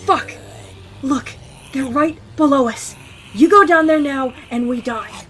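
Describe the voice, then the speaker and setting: A woman's voice: high voice, slow, a bit bright, slight background noise. A woman playing a practical, blunt apocalypse survivor in an audio drama, speaking urgently. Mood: alarmed, urgent.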